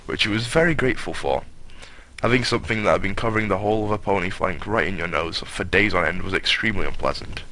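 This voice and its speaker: A man's voice: sits low, quick pace, sharp tone, slight background noise, low-quality recording. A man narrating a comic story as a hammed-up dramatic reading, in a mock-grandiose manner with offhand asides.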